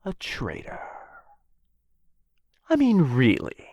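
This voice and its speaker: A man's voice: fairly high pitch, huge pitch swings, takes it slowly, darkish tone, no room sound, exaggerated voice. A man playing a smug, menacing, possessive dragon captor in a fantasy ASMR roleplay, drawling condescendingly and theatrically. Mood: scornful, indignant.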